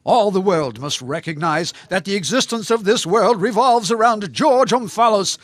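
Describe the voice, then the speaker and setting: Man playing a pompous, high-pitched crackpot preacher-scholar. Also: animated, moderate pace, a bit bright, dry recording. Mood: grandiose, fervent. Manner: pedantic, formal, grandiose. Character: pompous male crackpot creationist with messianic self-belief. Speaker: interview guest. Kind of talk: audio comedy drama, mock radio interview.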